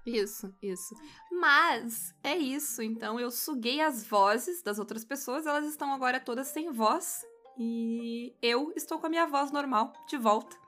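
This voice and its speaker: Animated-sounding, bright high-pitched Brazilian woman. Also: a little slow, faint music behind. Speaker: podcast co-host. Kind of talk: casual bantering chat.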